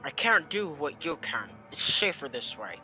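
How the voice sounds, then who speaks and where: A man's voice: on the high side, very animated, moderate pace, sharp tone, exaggerated voice, poor audio. A man voicing an anxious, self-sacrificing young sidekick in an amateur comic dub. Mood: anxious, pleading. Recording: music behind the voice.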